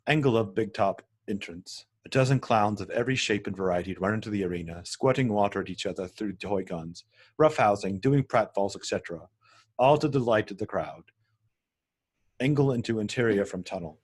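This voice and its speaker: Man, fairly low pitch, husky edge, measured scripted narration, reading stage directions. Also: expressive. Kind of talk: scripted narration at a table read.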